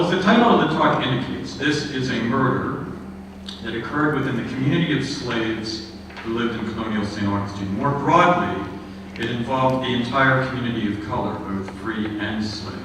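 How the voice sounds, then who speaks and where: A man giving a history lecture in a measured, earnest way, with frequent filler sounds. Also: mid-range voice. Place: lecture hall. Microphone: room microphone. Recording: echoey hall, distant mic, background noise.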